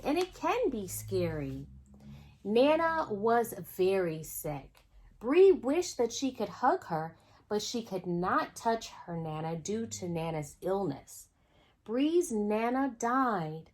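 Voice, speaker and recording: A woman with a fairly high, very animated voice reads a picture book to children. She hosts the storytime and talks straight to her young audience, often in an expressive way. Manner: expressive, addresses children directly with questions. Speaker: host reading a children's picture book aloud. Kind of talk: storytime read-aloud with asides to young viewers.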